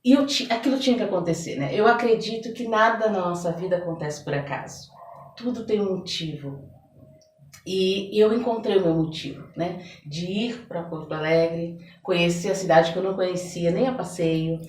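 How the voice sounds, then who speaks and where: A woman's voice: on the low side, normal speed, darkish tone. A Brazilian woman from São Paulo speaking as an interview guest, telling personal stories conversationally and often adding little tag questions. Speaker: podcast guest, mentor. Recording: echoey room, distant mic.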